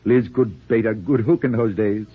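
A man's voice: on the low side, normal speed, slightly rough. An actor playing an urbane, whimsical middle-aged father with florid, drily philosophical phrasing, in a tinny 1940s radio drama. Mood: reflective. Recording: tinny, band-limited 1940s radio recording.

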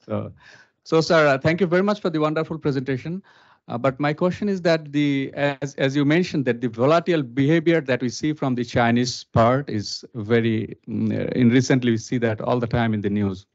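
A man's voice: mid-range voice, a little fast. A man with a Bangladeshi accent, a student officer asking a question in a lecture hall, polite and formal, addressing the speaker as sir. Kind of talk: audience question. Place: large lecture auditorium. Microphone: handheld mic. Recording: slightly dull lo-fi sound.

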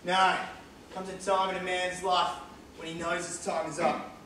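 An actor playing a cantankerous, foul-mouthed old care-home resident in a stage play, with a blunt, mocking manner. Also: male voice, high-pitched, little pitch movement, moderate pace, pushed and bright. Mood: gruff, resigned. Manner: sardonic, blunt, needling. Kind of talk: amateur stage play acting. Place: theatre stage, indoors. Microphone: distant camera microphone. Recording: echoey theatre stage from far off, faint noise.

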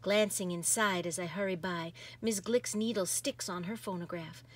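A woman reading a picture book aloud in an expressive, dramatic manner. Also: lowish voice, normal speed. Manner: animated, dramatic, varies from hushed to exuberant. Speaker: storybook reader.

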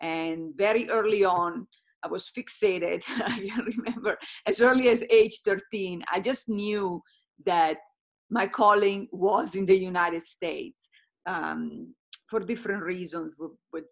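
A middle-aged woman with an Italian accent, speaking as a guest coach on a video call, enthusiastic and quick to laugh. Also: lowish voice. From Italy. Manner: enthusiastic, laughs often, repeats words. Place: home room with brick fireplace.

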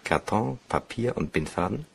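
An actor playing an embittered, determined bank cashier in a crime radio play, speaking in a brisk, commanding, husky way. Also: male voice, fairly low pitch, expressive, a little hiss or hum. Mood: businesslike, urgent. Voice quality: gravelly, husky.

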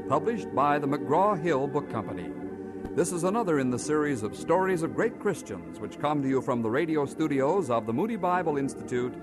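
Male announcer, vintage radio: highish voice, animated formal read over prominent organ. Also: a little fast. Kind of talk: scripted old radio announcing. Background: loud organ music.

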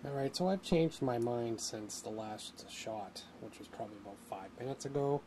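A man's voice: medium pitch, moderate pace, dark tone. Casual man over noticeable background noise. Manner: informal, thinking aloud. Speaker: hobbyist host demonstrating a radio modification. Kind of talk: casual unscripted how-to narration. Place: indoor home workshop, at a workbench.

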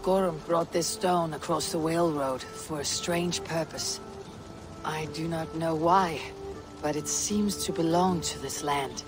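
A woman playing a stoic, weathered Viking warrior in a game, speaking in a measured, grave and formal way. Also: sits low, music in the background. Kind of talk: dramatic game cutscene acting, storytelling.

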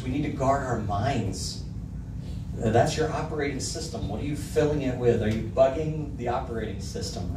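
A man's voice: medium pitch, noisy background. Middle-aged pastor guy preaching in a church hall, roomy sound, heard from the back. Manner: reads song lyrics aloud with emphasis, pointing at the screen. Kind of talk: sermon. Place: church hall with projector screen. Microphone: handheld mic, filmed from the back of the room. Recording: echoey hall, mic heard from a distance.

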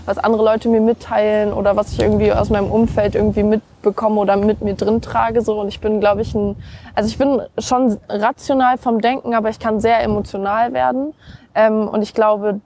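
A young woman singer from Germany chatting casually in an outdoor interview, relaxed and laughing easily, slipping English words into her talk. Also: medium pitch, a little fast, a little hiss or hum. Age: young adult. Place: outdoors on steps by a river.

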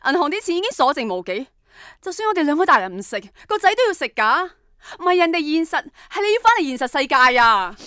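Young wife and mother, practical and emotional, lively intonation, radio drama. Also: sits high, fast, no echo, clean studio sound, exaggerated voice. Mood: exasperated. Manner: earnest, reasoning, emotional. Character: practical wife and mother, worried about family finances.

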